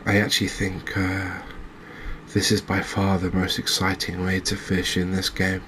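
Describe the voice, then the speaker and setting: Low, even-toned man narrating quiet gameplay. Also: slight background noise. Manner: unhurried, thinking aloud with trailing pauses. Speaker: gaming video host. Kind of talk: unscripted, quiet gameplay commentary in a cozy ASMR vein.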